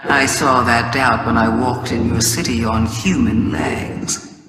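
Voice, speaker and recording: An actor voicing a cunning, regal alien schemer in a video game, speaking in a theatrical, deliberate, taunting way with riddles, drenched in reverb. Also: mid-range voice, fairly even intonation, over music. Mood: sly, taunting. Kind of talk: scripted dramatic game monologue. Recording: echoey, long cavernous reverb.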